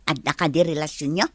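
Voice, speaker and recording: A woman's voice: sits low, breathy edge, no echo. A woman playing a curious, deferential older household helper in an audio drama. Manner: curious, animated.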